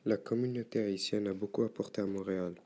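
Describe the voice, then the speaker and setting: A man reading scripted documentary narration aloud in an even, read-out delivery. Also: on the low side, quick pace.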